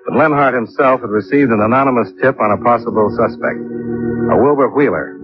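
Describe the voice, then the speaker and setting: An actor playing a businesslike male investigator in old radio detective style, measured and matter-of-fact, both in dialogue and in narration. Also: mid-range voice, close to an everyday voice. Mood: sober narrating. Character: steady, businesslike male investigator who narrates his own case. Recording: dull old radio recording with music.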